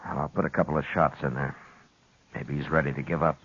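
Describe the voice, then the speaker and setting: Deep-voiced, laconic western marshal. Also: male voice, fairly even intonation, a bit bright, slightly rough, exaggerated voice. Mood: firm, urgent. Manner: unhurried, terse, understated. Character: steady, laconic Old West marshal, male, weary and humane. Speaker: lead actor and narrator. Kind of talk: 1950s radio western acting and narration. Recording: dull vintage radio recording with music.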